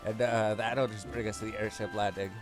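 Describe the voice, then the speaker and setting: Male gaming host, lowish pitch, casual rambling walkthrough delivery over clear background music. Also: little pitch movement, a little fast. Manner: rambling, thinking aloud. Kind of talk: casual game walkthrough commentary. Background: game music.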